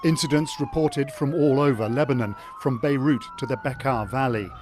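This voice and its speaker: A man's voice: mid-range voice, normal speed, very noisy. A man reporting a news story in a clipped, sombre manner.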